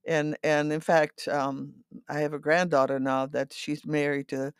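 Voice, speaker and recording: A woman in her eighties from the Netherlands, reminiscing in a halting, rambling way as an interview guest, often repeating words and checking for agreement. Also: deep voice, little pitch movement, clear, resonant tone, dry recording, clean studio sound. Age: 80s. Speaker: interview guest telling her life story. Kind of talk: reminiscing, conversational storytelling.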